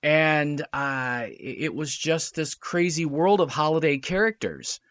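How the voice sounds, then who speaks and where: A middle-aged man, a radio drama producer and writer, chatting casually as a podcast guest and telling his stories with lively quoted dialogue. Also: fairly high pitch, unhurried, clear, resonant tone, no room sound. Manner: storytelling, animated, quotes others in dialogue. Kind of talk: casual chat.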